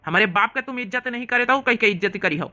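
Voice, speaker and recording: A woman acts an outspoken village man in a cartoon comedy. The voice is fairly high and forceful, with an Awadhi accent. He argues and reproaches, protective of his sister. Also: quick pace, a little hiss or hum. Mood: reproachful. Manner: argumentative, reproachful. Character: outspoken, protective grown son and elder brother, village man.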